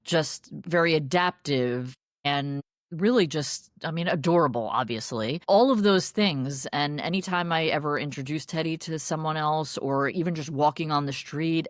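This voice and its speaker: A woman guest in a podcast interview, upbeat and expressive, with frequent restarts and laughs. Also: deep voice, moderate pace, few pauses, no room sound, clean studio sound. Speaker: guest, dog meetup organizer. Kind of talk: casual interview chat.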